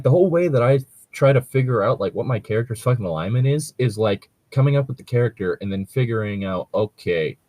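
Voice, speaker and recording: Male co-host, lowish pitch, dry close sound, husky edge, rambling casual gamer chat with frequent swearing. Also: a little mellow, no room sound. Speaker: co-host reading and discussing stories. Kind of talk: casual chat about tabletop role-playing.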